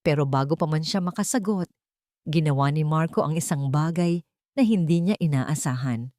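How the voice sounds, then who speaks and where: Low-pitched female storyteller, dry sound. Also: moderate pace, warm tone, dry recording. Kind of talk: dramatic scripted romance narration.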